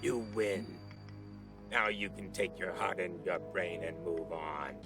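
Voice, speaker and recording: An actor voicing a streetwise, sardonic ventriloquist dummy with a gravelly, husky voice in a TV drama. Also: male voice, sits low, takes it slowly, loud music behind the voice. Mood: bitter. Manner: tough, sardonic, clipped. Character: wisecracking, streetwise male ventriloquist dummy, cursed demon hunter. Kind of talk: TV drama dialogue.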